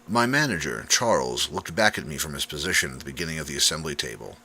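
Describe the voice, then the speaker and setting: Very deep, forceful male story narrator. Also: animated, quick pace, a little hiss or hum. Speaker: audiobook narrator. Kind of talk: dramatic scripted first-person story narration.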